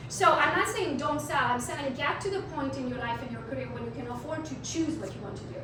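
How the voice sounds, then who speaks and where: A woman from Romania, English not her first language, leading a live sales training in a meeting room with a lively, question-asking manner. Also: sits high, fast talker, noisy background. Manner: energetic, asks the audience questions. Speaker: real estate sales trainer. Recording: echoey room, speaker far from mic.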